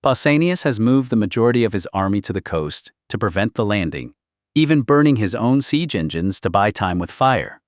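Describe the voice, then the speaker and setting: A commanding queen , as a male audiobook narrator reads her, grave and imperious in a historical saga. The voice is low and sits in a dry, close sound. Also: big pitch swings, a little fast, no room sound. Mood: somber, resolute. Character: commanding middle-aged queen, an anxious, grave mother. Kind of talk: audiobook character dialogue.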